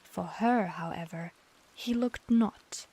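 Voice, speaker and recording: A woman streamer reads a bedtime tale slowly and unhurriedly, her accent leaning toward British English. Her delivery is soft and often drops to a gentle half-whisper. Her voice sits at an ordinary middle pitch. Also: slightly rough. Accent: British-leaning English learned as a second language. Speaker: streamer reading stories aloud. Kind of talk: slow bedtime storybook reading.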